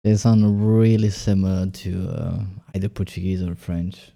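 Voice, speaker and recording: A man's voice: low-pitched, steady tone, unhurried, vocal fry, mellow. A man who runs a small YouTube channel, hosting a casual interview and rambling with many fillers. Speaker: small YouTube creator, host.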